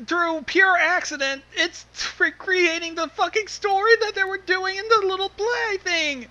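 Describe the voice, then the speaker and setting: A woman's voice: extremely high pitch, unhurried, bright, forceful tone. A woman casually reacting to a story reading, swearing freely as she comments.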